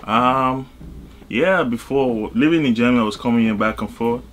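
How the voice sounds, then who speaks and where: A man from Cameroon, talking casually as an interview guest in a halting way, with frequent asides. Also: mid-range voice, fairly bright tone, slight background noise. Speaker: interview guest, music artist and label executive.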